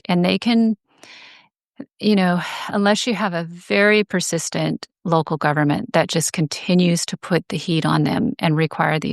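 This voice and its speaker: A woman explaining things as an interview guest, in a measured, detailed, conversational way. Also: sits low, vocal fry, no echo, clean studio sound. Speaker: guest, advocacy group director.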